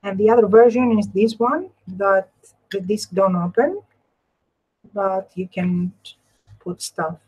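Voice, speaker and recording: Husky Greek-accented woman, casual crafting host. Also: mid-range voice, fairly even intonation, slow pace, long pauses, a little mellow, highs cut off. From Greece. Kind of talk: casual live craft demonstration with chat.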